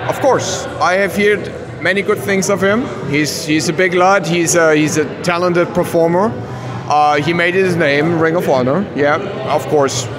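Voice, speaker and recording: A man from Germany speaks English with a German accent. His voice sits a little high and he sounds confident and earnest, stopping now and then to find a word. The handheld mic picks up a backstage crowd murmuring clearly behind him. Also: measured pace. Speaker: wrestler. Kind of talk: backstage interview. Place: backstage at a wrestling event, before a sponsor wall. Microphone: handheld mic held by the interviewer.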